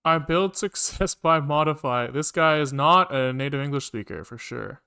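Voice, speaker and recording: A man thinking aloud casually as he programs on a livestream, halting and questioning himself as he mutters along. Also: on the high side, vocal fry, pushed and bright, clean tone. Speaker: live-coding streamer, programmer.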